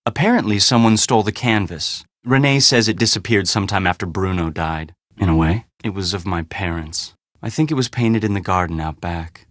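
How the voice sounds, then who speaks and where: An actor voicing a guarded, weary young heir in a video game, with a casual, sarcastic, slightly awkward manner. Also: male voice, deep voice, fairly bright tone, no room sound, clean studio sound. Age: young adult. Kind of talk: video game dialogue acting.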